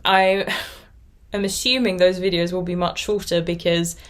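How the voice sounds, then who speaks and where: Rambly, lower-voiced woman around thirty. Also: little pitch movement, measured pace. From Austria. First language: German. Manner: rambly, chatty, self-reflective. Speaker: knitting podcast host. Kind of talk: casual vlog chat.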